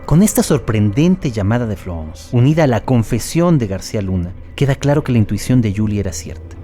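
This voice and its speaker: A man's voice: fairly low pitch, very animated, fairly quick, mellow, faint music behind. A man reading scripted documentary narration as the narrator of an audio series.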